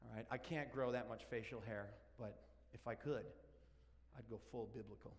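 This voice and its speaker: A man's voice: medium pitch, normal speed. Spanish-native man preaching in a hall. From Argentina. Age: 40s. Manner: animated and joking, walks around stage. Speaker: pastor. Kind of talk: sermon. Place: large church sanctuary. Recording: long church hall reverb.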